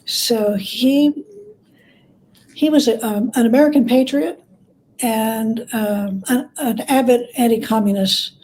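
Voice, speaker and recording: A woman speaking as a guest author in a conversational interview, explaining things in a halting, thoughtful way. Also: fairly high pitch, darkish tone, a little hiss or hum, low-quality recording.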